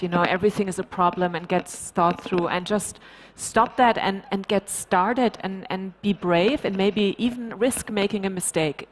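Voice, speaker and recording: A woman's voice: medium pitch, slight background noise. A middle-aged woman on a conference panel, earnestly urging people to act. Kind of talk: panel discussion. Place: large conference stage.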